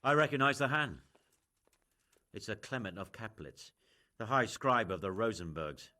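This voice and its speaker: An actor playing a shrewd, learned official in a video game, musing and authoritative. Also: male voice, on the low side, lively intonation, normal speed, sharp tone. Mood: brisk, authoritative. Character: learned, shrewd male official leading an investigation.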